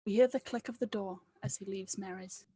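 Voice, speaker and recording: A woman's voice: medium pitch, fairly even intonation, fairly quick, mellow, breathy edge. A woman reading scripted stage directions aloud at a table read.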